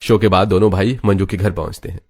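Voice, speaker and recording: A man's voice: low-pitched, steady tone, fast talker, a little mellow. A man narrating a scripted dramatic family story as a storyteller. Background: background music.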